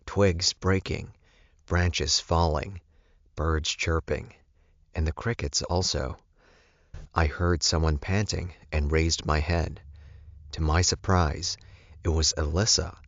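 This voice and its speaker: Man, audiobook narrator, very low voice, first-person story reading, close dry sound. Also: fairly even intonation, slow pace, fairly bright tone, dry recording, dull audio.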